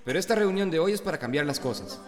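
A man's voice: fairly high pitch, animated, fast talker, lightly acted. An actor playing an earnest, fair-minded village meeting chairman in a radio drama. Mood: exasperated, firm. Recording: noisy crowd behind.

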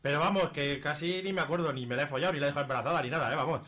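A man's voice: on the high side, racing, pushed and bright. A man playing a cheeky, blustering teenage punk in a radio soap, with an Aragonese accent and stammering, slangy delivery. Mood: flustered, evasive. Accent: Aragonese Spanish. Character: cheeky panicky working-class teenage punk boy. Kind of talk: amateur radio soap acting. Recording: clearly noisy recording.

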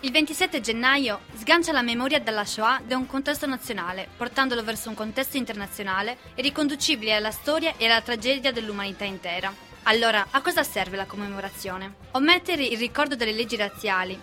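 Bright, high-voiced young woman on radio. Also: fast talker, hardly any pauses, faint music behind. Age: young adult. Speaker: co-host of a student history radio segment. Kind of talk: scripted radio history commentary.

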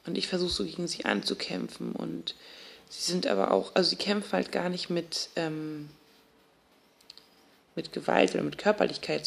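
Junge Frau, stockend, mit Knarren in der Stimme. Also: female voice, low-pitched, gravelly, full character voice. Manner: halting, colloquial, with fillers and self-corrections. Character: young woman recounting a strange dream, searching for words. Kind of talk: naturalistic radio drama monologue.

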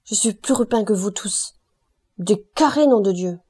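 A woman reading aloud and voicing a delirious, shouting male roofer with a hoarse voice who curses and raves. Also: mid-range voice, a little slow, close to an everyday voice. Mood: raving. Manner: raving, shouting, cursing.

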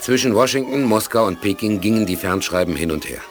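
Deep-voiced solemn male narrator. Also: animated, music in the background. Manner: solemn, deliberate. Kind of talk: scripted dramatic narration for a 1980s radio play.

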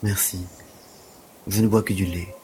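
A man's voice: deep voice, steady tone, measured pace, darkish tone. A man playing a prim, aloof, penniless gymnastics teacher in an audio drama, speaking with dry, formal precision. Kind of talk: French audio drama acting.

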